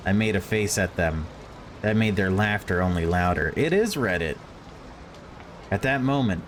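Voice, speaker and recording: Low-voiced man reading a game aloud. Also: slight background noise. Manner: wry, joking commentary between read passages. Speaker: video game let's player reading the game aloud. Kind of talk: expressive read-aloud narration with casual asides.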